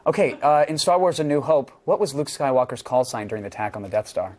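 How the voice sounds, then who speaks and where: A man hosting a casual, joking TV interview, animated and jokey, often tripping over his words. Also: medium pitch, quick pace. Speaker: TV show host.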